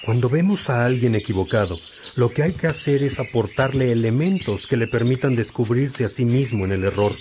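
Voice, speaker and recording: Calm devout bishop, played by a man, mid-pitched voice, patient and instructive, radio drama. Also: fairly quick, slight background noise, lightly acted. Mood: earnest.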